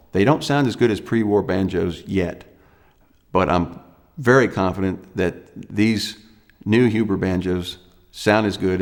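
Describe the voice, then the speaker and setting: A man in his thirties from Pennsylvania, a banjo maker talking in an interview with halting pauses and fillers. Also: low voice, big-room sound. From Lancaster, Pennsylvania. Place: studio with dark backdrop.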